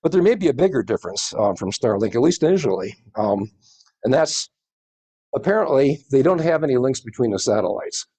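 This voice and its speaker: A man's voice: medium pitch, very animated, brisk, mellow, husky. A man presenting informally to a group, explaining in a rambling way with many hedging asides. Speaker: presenter at a space society meeting.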